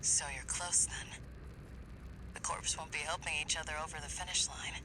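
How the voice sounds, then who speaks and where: An actor playing a wry, cynical mercenary associate in a video game. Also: male voice, fairly high pitch, steady tone, normal speed, background noise, natural voice.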